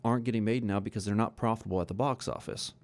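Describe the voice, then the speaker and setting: A man's voice: lowish voice, fairly even intonation, very fast, a little mellow. A man hosting a podcast, giving his opinions in informal, conversational talk and thinking aloud as he goes. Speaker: podcast host.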